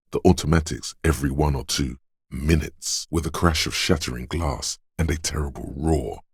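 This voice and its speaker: A man voicing a detective's gun-obsessed inner voice in a video game, speaking in a measured, deliberate, weighty way. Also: a little mellow, dry recording, studio quality. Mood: tense, urging. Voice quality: very deep, husky.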